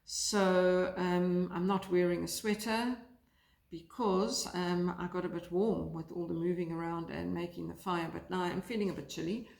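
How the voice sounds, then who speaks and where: A woman from South Africa chatting casually about her knitting by a fire, rambling and hesitant with many fillers, her voice croaky today. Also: lowish voice, steady tone, moderate pace, a little mellow. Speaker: knitting vlogger. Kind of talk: casual chat to camera. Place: lounge by a fireplace, indoors. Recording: echoey room.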